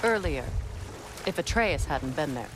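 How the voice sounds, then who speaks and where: An actress playing a proud, battle-hardened goddess in a video game, guarded and blunt with a dry, measured delivery. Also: female voice, low-pitched, animated, music in the background. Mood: challenging. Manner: dry, curt, measured storytelling. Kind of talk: dramatic video game acting.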